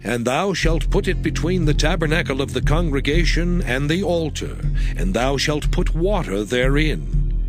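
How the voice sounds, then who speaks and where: A man playing a solemn voice of divine instruction in a dramatized scripture reading, deliberate and emphatic. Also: a little high. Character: solemn male voice reciting God's detailed sacred instructions.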